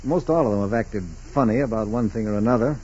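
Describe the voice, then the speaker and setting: An actor playing a methodical FBI special agent in a 1940s radio drama, with a clipped, deliberate, businesslike delivery. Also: male voice, fairly low pitch, a little mellow, a little hiss or hum, lightly acted. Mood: measured, puzzled. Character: steady, methodical male FBI special agent investigating a case. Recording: dull, muffled 1940s radio recording.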